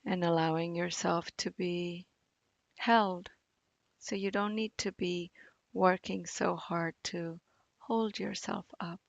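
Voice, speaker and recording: A woman guides a meditation very slowly, in a fairly low voice. Her delivery is gentle and soothing, with long pauses and a gravelly fry at the edges. The sound is close and dry. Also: steady tone, no room sound, dull audio. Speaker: meditation teacher. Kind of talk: guided body scan meditation.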